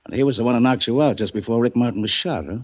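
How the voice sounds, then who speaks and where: An actor playing a brisk male police lieutenant in 1940s radio acting, with a husky voice. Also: mid-range voice, natural voice. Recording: dull old radio recording.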